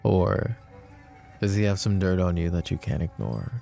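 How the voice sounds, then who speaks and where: A man voicing a possessive, menacing mafia boss in a roleplay audio drama, talking slow and taunting, with sweet pet names. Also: low voice, big pitch swings, soft background music, exaggerated voice. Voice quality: soft, smooth and taunting. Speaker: voice actor.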